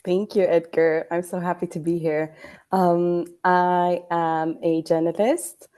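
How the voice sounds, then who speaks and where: Young woman on a podcast, low flat voice, thoughtful, lots of ums. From Iraq, grew up in Sweden. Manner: measured, thoughtful, with frequent ums. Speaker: journalist guest. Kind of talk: casual podcast chat.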